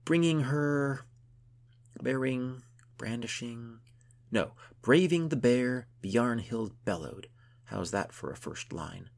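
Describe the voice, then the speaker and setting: A man voicing an exuberant, teasing woman adventurer in an audiobook, exclaiming and declaiming in a gravelly voice. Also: medium pitch, takes it slowly, pauses often, voice pushed up. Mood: playful declaiming. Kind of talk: audiobook character dialogue.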